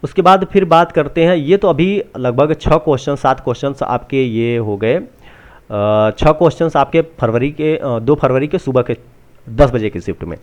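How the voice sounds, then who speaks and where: Halting man explaining, repeating himself. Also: medium pitch. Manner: repetitive, halting, restating himself often. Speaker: presenter of exam answer-key updates. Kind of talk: unscripted walkthrough explanation.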